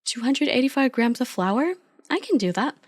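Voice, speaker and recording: A woman's voice: sits high, lively intonation, moderate pace, no room sound, studio quality. A woman playing an affectionate, playful girlfriend in an ASMR roleplay, chatty, teasing and supportive as an eager but clumsy baker. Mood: teasing, fond.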